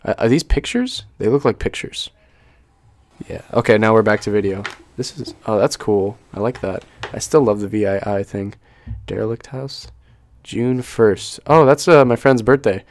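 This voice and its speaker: A man's voice: lowish voice, normal speed, no echo. A young man giving casual, off-the-cuff reaction commentary on an unboxing video, joking and swearing freely as he goes. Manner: joking, sweary, easily amused.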